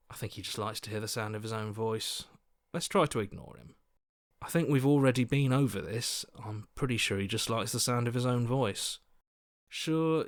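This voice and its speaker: An actor playing an earnest, sensible young hero in a video game, level-headed and mildly sarcastic. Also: male voice, mid-range voice, no room sound, studio quality, natural voice. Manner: level-headed, dryly reproachful. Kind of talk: video game character acting.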